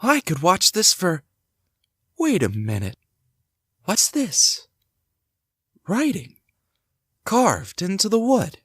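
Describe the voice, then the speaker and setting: A man playing a devoted young husband and father in an audio drama, gentle, curious and theatrical. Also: high-pitched, wildly swooping pitch, slow pace, pauses often, slightly rough, no room sound, studio quality, exaggerated voice. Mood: curious, excited. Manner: reassuring, theatrical, thinks aloud to himself. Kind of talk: hobby audio drama acting.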